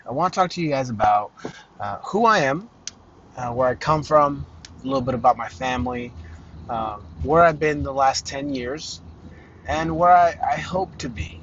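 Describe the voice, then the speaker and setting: A man's voice: mid-range voice, fairly bright tone, a little hiss or hum. Thirtyish guy from arizona rambling thru his podcast intro, um uh restarts everywhere, kinda dull lo-fi sound. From Arizona, United States. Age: 30s. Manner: rambling, many fillers and restarts, self-deprecating. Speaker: podcast host. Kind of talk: casual solo podcast monologue.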